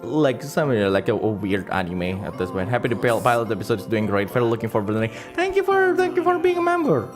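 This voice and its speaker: A man hosting a livestream in casual chat, halting and rambling as he searches for words, with as his language. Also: on the low side, huge pitch swings. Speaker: livestream host. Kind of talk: casual livestream chat, reading donations and comments. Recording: music playing behind the voice. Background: background music.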